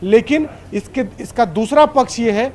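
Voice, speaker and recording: A man's voice: very high-pitched, normal speed. A man reporting live as a news correspondent, explaining in long, run-on sentences that circle back over points.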